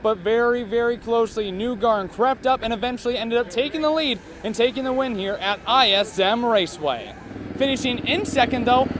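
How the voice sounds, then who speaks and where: A man with a notably high-pitched voice delivers a scripted sports recap as a reporter. His delivery is emphatic and announcer-like. A faint layer of noise sits behind him.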